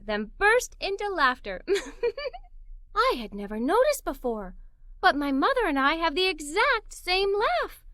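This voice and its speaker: A woman reading a children's story aloud in the voice of a young girl narrator, with a theatrical, expressive delivery. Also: sits high, no room sound, studio quality.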